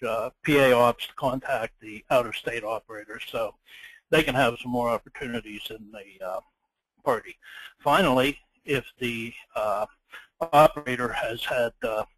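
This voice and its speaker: A man giving an informal webinar presentation as an organizer, speaking haltingly with frequent ums and uhs. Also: mid-range voice, a bit bright, dry recording, poor audio. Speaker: contest chairman presenting a webinar. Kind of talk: informal slide presentation of contest rules. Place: indoors, online webinar.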